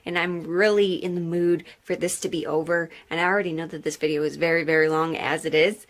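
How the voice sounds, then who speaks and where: A woman's voice: deep voice, steady tone, fairly quick. Casual, rambling woman vlogger. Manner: rambling and self-deprecating, with casual filler words. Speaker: video host and hobby historical seamstress. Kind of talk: casual sewing vlog chat, thinking aloud.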